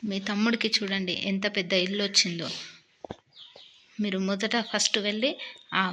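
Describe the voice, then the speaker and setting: A woman's voice: medium pitch, steady tone, normal speed, sharp tone, a little hiss or hum, natural voice. A woman voicing a nagging, aggrieved village wife in a moral story cartoon. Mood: scolding. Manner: complaining, urging.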